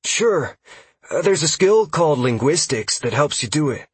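A man performs a jittery male elf scout for an audiobook. The voice sits clearly higher, with a hesitant, apologetic delivery that is eager to explain lore. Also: sing-song, normal speed, dry recording, exaggerated voice. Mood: eager, explanatory. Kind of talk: audiobook character dialogue.

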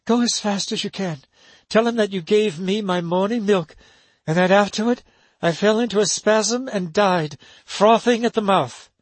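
A man voicing a young woman in bed who speaks softly but urgently, giving firm instructions. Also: high-pitched, moderate pace, no echo, pitched above the performer's own voice. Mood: hushed, urgent. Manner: soft, hushed, then sharp and urgent. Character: young woman, resolute, secretive, ill in bed.

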